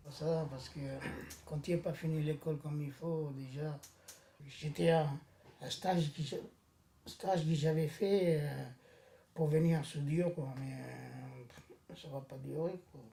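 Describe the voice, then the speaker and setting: A middle-aged man with a Sri Lankan accent speaks haltingly in broken French, recalling his life for an interview. His tone is soft and dark, and the room around him echoes. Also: on the high side, fairly even intonation, creaky. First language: not French, learned it as an adult. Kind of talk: recounting life story in interview. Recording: roomy, echoing sound.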